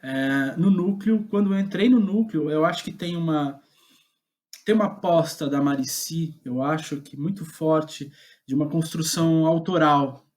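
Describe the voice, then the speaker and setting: A man's voice: fairly high pitch, a little slow, darkish tone. Casual Brazilian man recounting his path. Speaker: guest playwright. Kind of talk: casual conversational interview, recounting own career.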